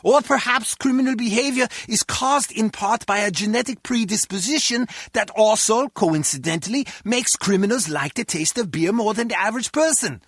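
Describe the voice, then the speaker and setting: A man's voice: high voice, expressive, moderate pace, few pauses, a bit bright, husky, no room sound, studio quality, full character voice. An actor playing an earnest, pedantic German henchman in a video game, with a German accent. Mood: earnest lecturing. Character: philosophical German henchman guard, earnest and excitable. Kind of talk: video game character dialogue.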